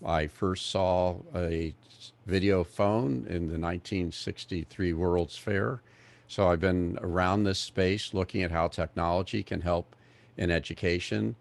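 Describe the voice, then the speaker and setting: An American man in his sixties introducing himself in measured talk on a video call. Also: low-pitched, fairly even intonation, creaky. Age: 60s. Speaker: panelist, education technology specialist.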